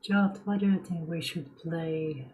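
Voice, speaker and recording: A woman streaming a game, talking in a low-key, muttering way and swearing casually. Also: measured pace, darkish tone, a little hiss or hum, poor audio.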